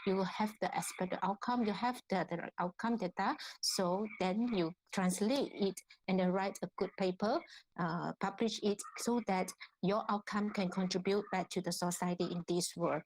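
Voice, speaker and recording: A woman with a Malaysian accent lecturing at an online workshop as an academic, in a halting way, often repeating and rephrasing herself. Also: mid-range voice, fairly even intonation, low-quality recording. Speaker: academic researcher, guest lecturer.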